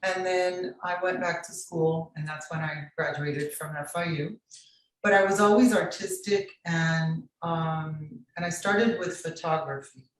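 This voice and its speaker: A woman from Miami recounts her path as an artist in an interview. She speaks slowly and deliberately. Her voice comes from some distance, wrapped in strong room echo. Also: low voice, steady tone, a little hiss or hum. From Miami, Florida. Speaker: guest artist. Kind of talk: personal interview narrative. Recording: very echoey room, distant from microphone.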